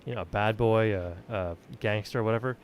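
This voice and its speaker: A man's voice: fairly low pitch, a little fast, a little hiss or hum. A man hosting a podcast, chatting casually with the group. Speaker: podcast host.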